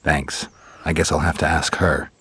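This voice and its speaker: An actor playing a terse, deadpan monster hunter for hire in a fantasy video game, with a gruff, gravelly voice. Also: male voice, rumbling low, normal speed, heavily acted. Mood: dry, matter-of-fact.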